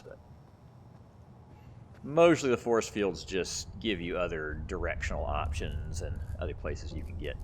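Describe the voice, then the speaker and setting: A man's voice: sits low, a bit bright, slight background noise. A man giving casual gameplay commentary as host of a gaming video, musing aloud as he plays. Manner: muses aloud while playing.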